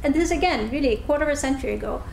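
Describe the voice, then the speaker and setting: A woman's voice: high voice, lively intonation, very fast, vocal fry, slight background noise. A woman in her forties from Bangladesh giving a public talk in a lecture hall, telling stories with many restarts and fillers. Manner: chatty, warm storytelling with many restarts and fillers. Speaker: guest speaker. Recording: echoey room recording.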